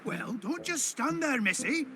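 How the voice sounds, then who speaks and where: A hearty old sea dog of a video game, spirited and boastful. His creaky voice swings widely in pitch as he talks in folksy, exclamatory old-salt fashion. He takes his time, with music playing behind him. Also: very animated, a little slow, noisy background, exaggerated voice. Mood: excited, urgent. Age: older. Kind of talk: video game character acting.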